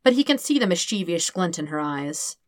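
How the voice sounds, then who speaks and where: A woman's voice: lowish voice, very animated, a little fast, no echo, studio quality. A woman reading scripted fiction as an audiobook narrator.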